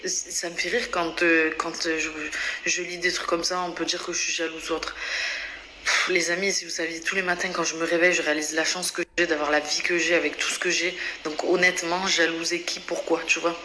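A woman's voice: lowish voice, sharp tone, echoey room, slight background noise. A pregnant woman in her thirties chatting casually to her phone camera at home, outspoken and chatty, with lots of fillers and restarts. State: pregnant, on bed rest. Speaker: vlogger. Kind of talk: casual vlog chat. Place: home interior, bedroom and bathroom. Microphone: phone held at arm's length.

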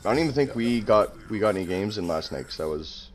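A man's voice: lowish voice, expressive, quick pace, slight background noise. A man streaming a shooter game from home, chatting loosely with teammates, joking and swearing, talking into a headset mic. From Canada. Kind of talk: casual gaming chat with teammates. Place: small room at home.

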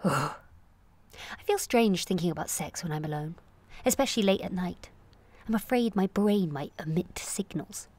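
A woman in her late twenties performing a confessional comic monologue in a candid, wry, self-questioning way, moving between confiding and animated. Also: fairly low pitch, normal speed. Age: late 20s. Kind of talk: confessional comic audio monologue, present-tense narration.

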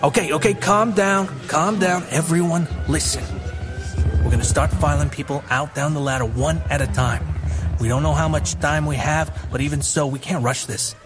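An actor playing a firm, patient police commissioner taking charge in an audio drama. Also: male voice, a little high, normal speed, over music, natural voice. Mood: commanding.

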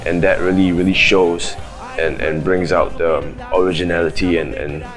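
A young man from Singapore, a rapper, talking haltingly in an interview. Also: low-pitched, flat delivery. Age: young adult. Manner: halting, repeats words. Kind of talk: documentary interview. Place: recording studio control room. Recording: music playing behind the voice.

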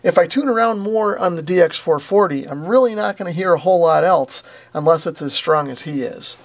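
An American man in his forties hosts a hands-on repair tutorial from his workshop. His voice sits a little higher than average and has a lot of fry in it. He explains each step plainly and methodically. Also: expressive, fairly quick. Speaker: host of a radio repair channel. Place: small indoor workshop with concrete walls.

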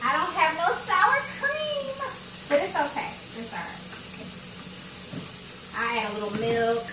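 A woman's voice: sits high, huge pitch swings, measured pace. A woman, talking casually to viewers while she cooks in her home kitchen on a phone livestream. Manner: chatty and playful, talks to viewers as y'all. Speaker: cooking show host. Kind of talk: casual livestream cooking chat. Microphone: phone on a tripod, close to arm's length. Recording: noisy kitchen with sizzling frying.

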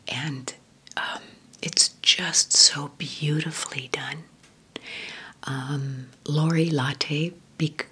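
A woman whispering gently through an ASMR question-and-answer video, talking fondly and slowly with soft fillers. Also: low-pitched, breathy edge. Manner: slow, gentle, affectionate rambling with fillers. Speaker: ASMR creator.

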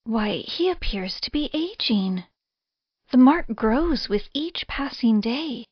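High, sweet, doting wife, acted by a woman. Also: expressive, slow, breathy edge, no echo, dull audio, heavily acted. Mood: tender, curious. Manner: gentle, doting. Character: sweet, affectionate wife fond of pets. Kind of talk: audio drama acting.

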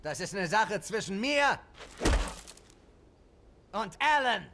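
A man playing a high-strung, quarrelsome young soldier in a dubbed war game, agitated and shouting. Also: very animated, takes it slowly, noisy background, exaggerated voice. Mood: furious, defiant.

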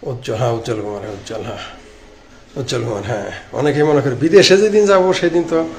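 A man's voice: medium pitch, fast, a little mellow. A man in his late fifties with a Bangladeshi accent, giving informal live commentary and answering comments in a sarcastic, rambling, repetitive way into a phone. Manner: sarcastic, rambling, repeats phrases. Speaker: live-stream political commentator. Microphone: phone at arm's length. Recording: clearly noisy phone recording.

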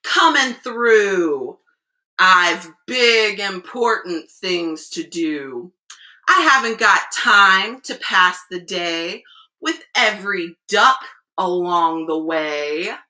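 A woman acts out a big, pompous storybook dump truck. The words come slowly and emphatically, in a bright voice with plenty of swing. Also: fairly low pitch, lively intonation, voice pushed down, exaggerated voice, poor audio. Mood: self-important. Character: big self-important male dump truck, brusque and gruff.

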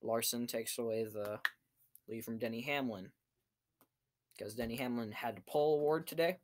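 A young man reviews events in a casual vlog style. His voice sits at a middling pitch and he delivers his recap in short, choppy pieces. He is recorded indoors at desk distance from a computer mic. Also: moderate pace, noisy background. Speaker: amateur race reviewer. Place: small cluttered room indoors.

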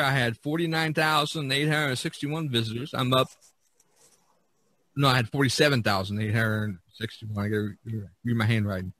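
A man's voice: medium pitch, sharp tone, dry recording. A man hosting a podcast, chatting casually and reading out figures in a folksy, rambling way, often repeating himself. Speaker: podcast host.

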